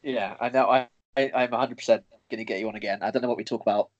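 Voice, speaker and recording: Young Northern English man, mid-pitched, cheerful podcast host who laughs easily. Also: fairly even intonation, rapid-fire, lo-fi sound. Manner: upbeat, friendly, laughs easily. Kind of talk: casual conversation.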